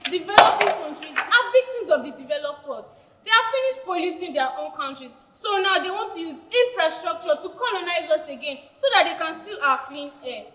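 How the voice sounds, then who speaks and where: A woman's voice: very high voice, lively intonation, fairly bright tone. A woman playing a fiery Nigerian schoolgirl. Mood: arguing. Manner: emotional, heated, quick to argue. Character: fiery young female footballer. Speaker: football player, student. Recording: echoey hall.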